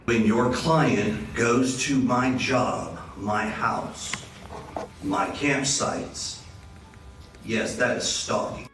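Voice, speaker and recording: A man giving deliberate, emphatic testimony as a witness in a courtroom. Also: medium pitch, a bit bright, faint music behind. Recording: very echoey courtroom, far from mic.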